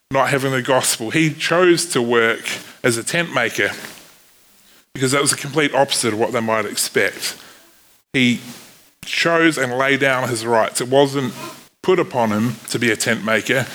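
Kiwi man in his thirties, bright, forceful voice, earnest sermon with light self-mockery, faint hiss. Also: medium pitch. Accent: New Zealand. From New Zealand. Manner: earnest, self-deprecating asides. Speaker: preacher.

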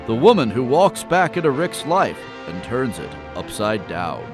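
A man's voice: medium pitch, big pitch swings, moderate pace, close to an everyday voice. A man narrating a spoof movie trailer in a dramatic announcer style, with music behind him. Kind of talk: dramatic scripted film-trailer parody narration.

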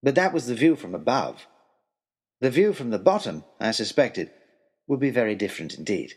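A man playing a florid, anxious young gentleman adventurer, telling his tale in a wordy, mannered way with wry understatement in a comic audio drama. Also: medium pitch, big pitch swings, normal speed, breathy edge. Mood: tense, dramatic. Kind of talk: first-person comic adventure storytelling, letter-like period narration.

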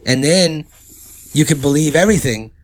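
A man's voice: a little high, lively intonation, normal speed. A man in his early thirties, co-hosting a casual chat, joking and riffing with mock quotes. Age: 30s. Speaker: podcast co-host.

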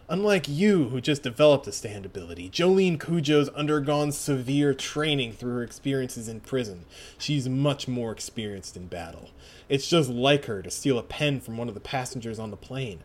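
A man voicing a calm, calculating villain mentor in a measured, instructive manner. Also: medium pitch, close to an everyday voice.

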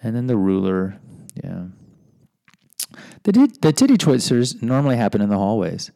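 A man's voice: fairly low pitch, sing-song, moderate pace, vocal fry, mellow, no room sound. A middle-aged American man hosting a podcast, reading a personal memoir with rambling, self-deprecating asides. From United States. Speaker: podcast host and teacher.

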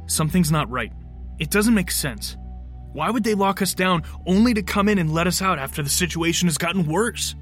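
A defiant fugitive played by a man in an audio drama. His voice sits high for a man, urgent and cynical, with faint music behind it. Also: animated, fairly quick. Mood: wary. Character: wary, defiant handcuffed male fugitive.

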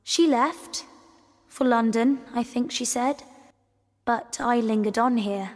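Ghost of a young girl, wistful, gentle, slow measured storytelling, audio drama, cavernous reverb. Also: medium pitch. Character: wistful ghost of a young girl lingering in her old house. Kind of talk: scripted audio drama monologue.

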